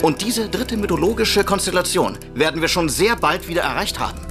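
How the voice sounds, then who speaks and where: An actor in a radio drama playing a deferential professor who speaks in a formal, oracular, self-assured way. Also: male voice, high voice, lively intonation, a little fast, vocal fry, music in the background. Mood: portentous.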